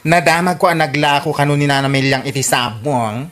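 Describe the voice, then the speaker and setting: A woman's voice: deep voice, fairly quick, a bit bright, faint music behind. A woman with an Ilocano accent playing a friendly, gossipy neighbour in a radio drama. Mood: chatty.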